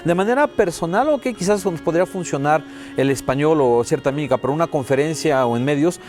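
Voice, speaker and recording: A man with a Mexican accent voicing a deaf guest's words as an interpreter in a TV interview, steady and first-person with many "eh" fillers. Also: fairly high pitch, a little fast, soft background music.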